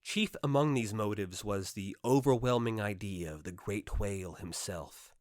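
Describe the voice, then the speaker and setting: Low-voiced man narrating a classic novel, dry sound. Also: normal speed, no echo. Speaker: audiobook narrator. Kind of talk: scripted literary narration, first-person classic novel.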